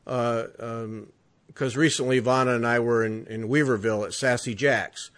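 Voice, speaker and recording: A man's voice: mid-range voice, steady tone, normal speed, a bit bright. A man hosting a podcast, chatting casually with a jokey, teasing manner as he interviews a guest. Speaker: podcast host.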